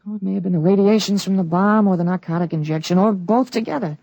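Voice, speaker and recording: A man playing a teenage boy with an adult's memories, explaining things calmly and matter-of-factly in a 1940s radio drama. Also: high voice, fast, creaky, dry recording, low-quality recording. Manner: calm, matter-of-fact explaining. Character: teenage boy with an adult mind, calm and knowing.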